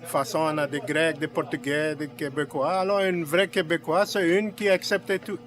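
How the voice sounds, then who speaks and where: A man in a street interview, answering plainly and pausing to search for words. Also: on the high side, little pitch movement. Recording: music bed under voice.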